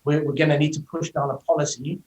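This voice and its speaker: A man's voice: a little high, little pitch movement, fast, a little mellow, slight background noise. A middle-aged man with a South African accent who gives fluent, explanatory interview answers over a video call and fills his speech with "you know". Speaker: tech company executive, guest. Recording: roomy echo.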